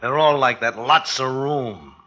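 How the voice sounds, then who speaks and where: An actor playing a brash, eager, slangy new husband with an American accent, cajoling in an old radio thriller. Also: male voice, mid-range voice, a little slow, bright, forceful tone. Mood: boastful. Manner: colloquial, cajoling. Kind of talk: 1950s radio thriller acting. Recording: noisy, dull old radio recording.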